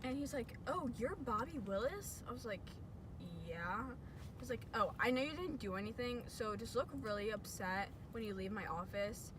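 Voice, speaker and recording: A woman's voice: expressive, moderate pace. A young American woman in casual chat and storytelling with friends, informal and emphatic, repeating herself. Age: teens or young adult. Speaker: vlog co-host. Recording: clear background noise, vehicle rumble.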